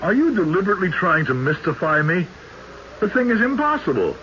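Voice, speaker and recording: An actor playing an earnest, formal country judge who also narrates a radio mystery in a deliberate way. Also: male voice, high voice, very animated, fairly bright tone. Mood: indignant, insistent. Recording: noisy, muffled vintage radio broadcast.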